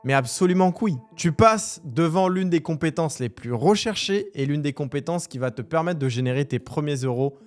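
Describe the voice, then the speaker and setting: A man's voice: fairly high pitch, normal speed, hardly any pauses, soft background music. A man in his twenties from Algeria, giving a direct, emphatic motivational talk straight to the viewer. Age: 20s. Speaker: entrepreneur vlogger.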